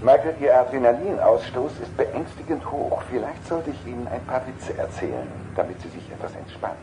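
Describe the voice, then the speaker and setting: An actor playing a polite, prim talking computer car in an audio drama, formal and precise. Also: male voice, mid-range voice, lively intonation, a little fast, warm tone, a little hiss or hum, lightly acted, rough recording. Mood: dry, solicitous.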